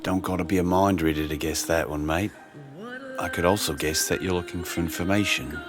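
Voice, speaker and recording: A man's voice: low-pitched, steady tone, brisk, close to an everyday voice. A man playing a friendly, knowing colonist bartender in a sci-fi radio drama, chummy and slangy. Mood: easygoing, knowing. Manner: chummy, slangy, calls listener mate. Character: friendly, knowing male space-colonist bartender with pirate tattoos. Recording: music behind the voice.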